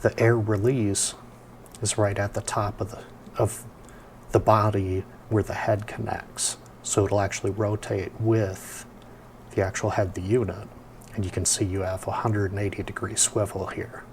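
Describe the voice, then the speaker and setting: A man giving a casual hands-on product review, explaining things step by step in an unhurried way. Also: lowish voice, level tone, a little mellow, slight background noise.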